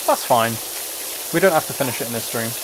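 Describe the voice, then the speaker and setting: A man's voice: medium pitch, animated, fast. Man chatting casually over noisy game spray. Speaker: livestreamer. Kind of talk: casual livestream chat while playing a game. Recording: clear background noise, spraying game sounds. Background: game ambience and water spray.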